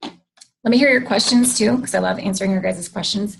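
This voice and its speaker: A woman's voice: mid-range voice, a little fast, creaky, slight background noise, rough recording. A woman hosting a casual livestream, chatty, greeting viewers, and losing her voice. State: losing her voice, clearing her throat. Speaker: host of a livestream.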